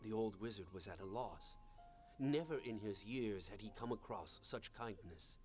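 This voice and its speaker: A man narrating an animated fairy tale as a gentle, measured, solemn storyteller. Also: lowish voice, natural voice. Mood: measured, tender. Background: music.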